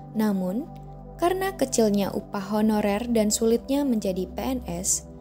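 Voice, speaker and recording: Mellow female documentary narrator. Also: medium pitch. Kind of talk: scripted narration.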